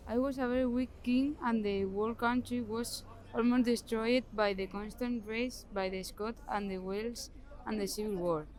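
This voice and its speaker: A woman's voice: on the high side, fairly even intonation, moderate pace, darkish tone, rough recording. A young woman reciting a scripted history monologue in the first person, as a student presenter.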